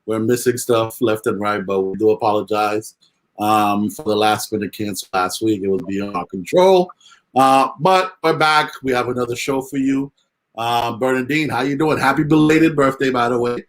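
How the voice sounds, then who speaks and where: A man co-hosting a casual chat show, talking in a relaxed, slangy, easygoing way. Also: mid-range voice, moderate pace, low-quality recording. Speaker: co-host.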